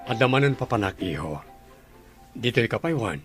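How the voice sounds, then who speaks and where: A man's voice: mid-range voice, big pitch swings, normal speed, over music, natural voice. A man playing a worried father and boss who questions patiently, in a radio drama. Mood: concerned.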